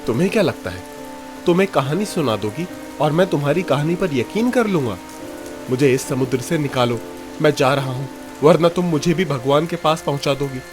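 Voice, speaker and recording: A man's voice: on the high side, expressive, moderate pace, music in the background, natural voice. A man playing a young, stubborn, suspicious fisherman in a dramatised cartoon story, emphatic and dramatic. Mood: accusing.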